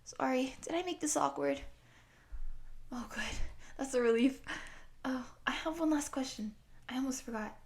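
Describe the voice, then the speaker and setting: A woman voicing a friendly, excitable eighteen-year-old wolf girl who rambles from one thing to the next in an audio roleplay for the listener. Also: high-pitched, normal speed. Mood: eager, friendly. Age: young adult.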